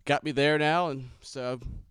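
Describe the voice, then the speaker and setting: A man's voice: fairly high pitch, fairly even intonation, moderate pace, fairly bright tone, smooth, no room sound. A man in his twenties from Tennessee, casually introducing himself as a guest. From Nashville, Tennessee. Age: 20s.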